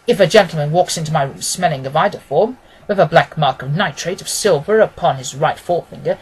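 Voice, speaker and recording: A man voicing a self-assured male detective in an audiobook, keenly observant and gently mocking, with an emphatic, didactic manner. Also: sits high, breathy edge, poor audio. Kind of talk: dramatised audiobook reading.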